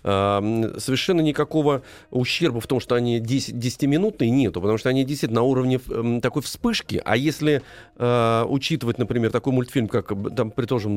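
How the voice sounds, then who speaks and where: A man hosting a conversational interview, speaking haltingly as he searches for his words. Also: mid-range voice. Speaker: talk show host.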